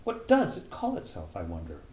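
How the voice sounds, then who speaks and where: A man's voice: low-pitched, wildly swooping pitch, a little fast, warm tone, roomy sound, a little hiss or hum. A man reading aloud as a curious young girl who rambles and muses to herself, timid when asking questions. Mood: musing.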